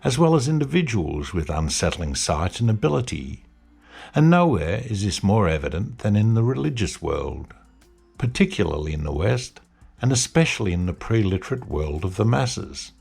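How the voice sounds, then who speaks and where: A man giving a measured, scripted lecture-style narration as a podcast host. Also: fairly low pitch, big pitch swings, vocal fry, darkish tone, slightly rough, soft background music. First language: English.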